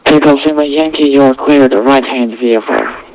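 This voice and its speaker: A man's voice: medium pitch, moderate pace, darkish tone, rough recording. A man speaking as an air traffic controller, giving instructions in radio phraseology.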